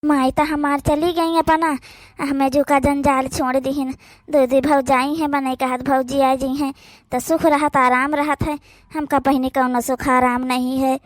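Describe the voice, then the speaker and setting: A weary young sister from an Awadhi cartoon, voiced by a woman, talking in a plaintive, high voice. The recording is dry and close. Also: level tone, unhurried, no echo. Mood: sad. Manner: plaintive, complaining softly. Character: overworked young unmarried sister, sad and gentle. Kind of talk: cartoon voice acting.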